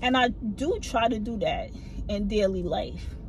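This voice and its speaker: A middle-aged woman telling a story to her phone camera from a parked car, lively and gesturing as she talks, with a low voice that some people find hard to hear. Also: measured pace, slight background noise, low-quality recording. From family from the American South. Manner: animated, talks with her hands. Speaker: vlogger. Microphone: phone camera mounted in front of her.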